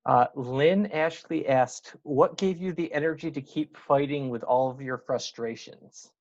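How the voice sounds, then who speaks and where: A man of medium pitch moderates an informal question-and-answer session, relaying questions from the audience. The sound is a little dull and lo-fi. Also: a little slow, darkish tone.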